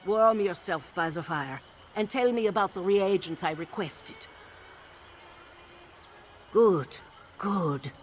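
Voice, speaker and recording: A woman playing a wise, solemn and commanding Nord shamaness in a video game, speaking in a measured, incantatory way. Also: fairly low pitch. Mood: measured, expectant. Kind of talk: video game character voice acting. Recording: game music behind.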